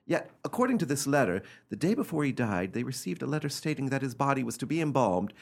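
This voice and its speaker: A man playing an imperious, coolly cruel, excitable gentleman heir in an old-fashioned radio play, speaking in a theatrical, commanding, formal way. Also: mid-range voice, fast, vocal fry. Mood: smug, composed.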